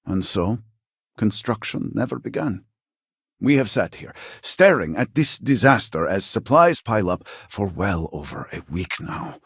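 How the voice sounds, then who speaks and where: An actor playing an earnest, exasperated scientist from a devout society in a sci-fi video game, speaking formally with a husky voice. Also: male voice, on the low side, big pitch swings, fairly bright tone, no room sound, studio quality, exaggerated voice. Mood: frustrated, weary. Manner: formal, measured, articulate. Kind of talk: video game character dialogue.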